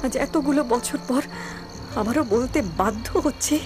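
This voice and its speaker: A woman's voice: high-pitched, moderate pace, a little mellow, natural voice. A woman playing an anxious but defiant young landlord's wife in a dramatic cartoon horror story. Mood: disgusted, defiant.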